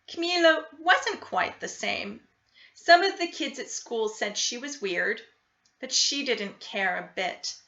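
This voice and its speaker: A woman narrates a children's picture book in a bright voice with very animated pitch. She reads expressively and takes her time. The sound is a little dull and lo-fi. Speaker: storybook reader.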